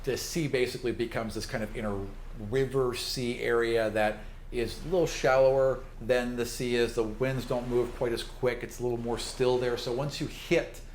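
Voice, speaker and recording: A man's voice: medium pitch, fairly even intonation, normal speed. A man narrating a tabletop roleplaying game as its game master, improvising the descriptions as he goes. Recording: roomy sound with faint background noise.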